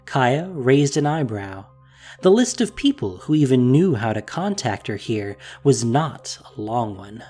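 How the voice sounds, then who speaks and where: Expressive male storybook narrator. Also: mid-range voice, unhurried, faint music behind.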